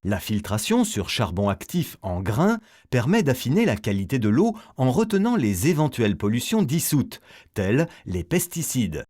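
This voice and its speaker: Male explainer narrator, very animated intonation. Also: medium pitch, normal speed. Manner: didactic, step-by-step explaining. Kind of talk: scripted educational narration.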